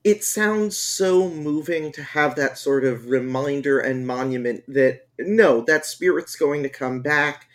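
Slow, reflective man on a panel. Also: a little high. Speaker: critic on a review panel. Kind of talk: casual panel chat.

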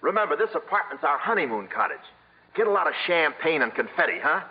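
An actor playing a wheedling, wisecracking small-time racetrack hustler in 1950s radio comedy style. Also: male voice, fairly high pitch, big pitch swings, fast talker. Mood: pleading, wheedling. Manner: glib, wisecracking patter. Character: fast-talking, wheedling small-time racetrack con man. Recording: muffled, noisy old radio broadcast.

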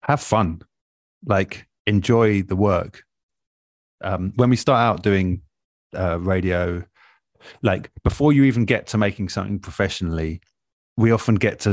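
A man in a relaxed interview, explaining things in a thoughtful, measured and earnest way as an experienced producer. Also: low-pitched, no room sound, clean studio sound. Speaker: interview guest, audio drama producer. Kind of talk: relaxed advice-giving conversation.

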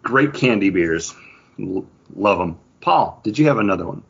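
A man with a low, rough-edged husky voice talks casually and enthusiastically, like a podcast host among friends. The recording is slightly dull and lo-fi. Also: expressive, normal speed. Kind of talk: casual chat.

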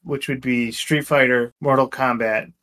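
A man's voice: mid-range voice, fairly even intonation, a little slow, fairly bright tone. A man talking casually as a podcast co-host, discussing films in a conversational style.

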